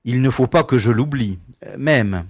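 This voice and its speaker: An actor playing an easygoing, self-important prison director in an audio comedy, speaking in a courteous, formal, paternal way. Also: male voice, medium pitch, measured pace, dry recording, studio quality. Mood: genial, self-satisfied. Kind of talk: French radio comedy acting.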